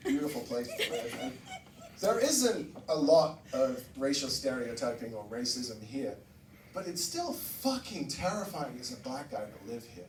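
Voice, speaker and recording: A man from Barbados doing a stand-up comedy set, swearing and jumping high in pitch for punchlines. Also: big pitch swings, measured pace, echoey room, far from the mic, a little hiss or hum, poor audio. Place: comedy club stage with audience. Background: audience laughter.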